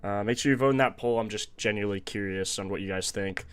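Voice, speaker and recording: A young man with a low voice, a touch raspy from a sore throat, hosts a relaxed live stream at his desk. He talks casually and thinks out loud, now and then leaning away from the mic. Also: fast talker. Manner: chatty, thinks aloud, greets chat viewers. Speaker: sports betting stream host.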